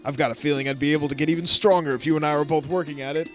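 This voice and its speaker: A man's voice: a little high, fairly even intonation, rapid-fire, fairly bright tone, soft background music, lightly acted. A man voicing a peppy, eager teenage girl battle champion, reading her lines aloud in an upbeat, bragging way while playing a game.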